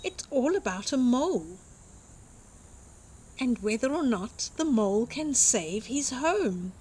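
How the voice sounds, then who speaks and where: A woman's voice: fairly high pitch, big pitch swings, takes it slowly, dark tone, husky. An older woman reading a picture book aloud expressively to young children, with simple explanatory asides. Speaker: children's storytime reader and host.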